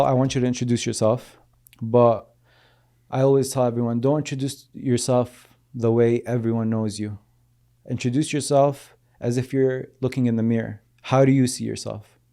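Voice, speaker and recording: Host, male, mid-range mellow voice, casual and direct interviewer. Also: medium pitch, fairly even intonation, moderate pace, faint music behind. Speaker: podcast host.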